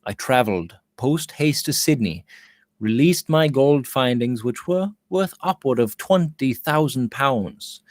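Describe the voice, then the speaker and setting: A man's voice: mid-range voice, darkish tone, dry recording, clean studio sound. A man acting a brooding, desperate gold prospector. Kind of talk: audiobook character reading.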